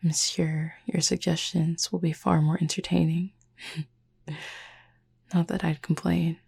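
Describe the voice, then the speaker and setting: Cupid roommate, sleep-aid roleplay, female actor: reserved and devoted, hushed breathy delivery, very even pitch, dark soft tone, measured formal phrasing. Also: full character voice. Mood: gentle, fond. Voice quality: breathy, husky, near-whisper. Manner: measured, formal wording with long pauses.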